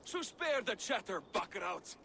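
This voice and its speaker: A man's voice: high voice, sing-song, moderate pace, vocal fry, pushed and bright, slight background noise, exaggerated voice. A man playing a bloodthirsty, impatient Viking warrior in a video game, with blustering speech. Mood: battle-hungry. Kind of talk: video game cutscene acting.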